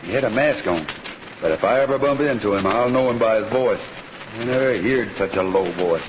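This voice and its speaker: An actor playing a steady, laconic cowboy rancher in an old-time radio Western, speaking in terse, folksy lines. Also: male voice, on the low side, fairly quick, close to an everyday voice. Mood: determined. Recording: noisy, muffled old radio recording.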